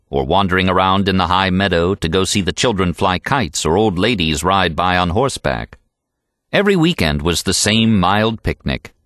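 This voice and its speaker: A man's voice: sits low, a bit bright, no echo, clean studio sound. An American man reading a literary novel aloud as an audiobook narrator.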